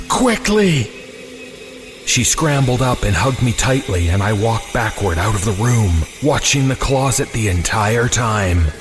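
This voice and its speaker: A man reading a scripted first-person horror story in a suspenseful, dramatic way with deliberate pauses. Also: medium pitch. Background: music.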